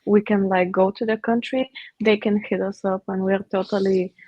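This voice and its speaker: Even-toned woman, slightly dull sound. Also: medium pitch, moderate pace. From Bulgaria. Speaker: guest, indie film performer. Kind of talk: casual interview chat.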